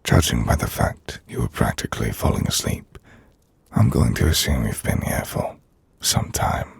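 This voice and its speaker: A man playing an ancient, brooding vampire lord in an intimate ASMR roleplay, speaking formally and drily in a gravelly, breathy near-whisper. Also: extremely low pitch, expressive, no room sound, full character voice. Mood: dry teasing. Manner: formal, measured, dry. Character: ancient brooding vampire lord, dryly teasing, courteous host.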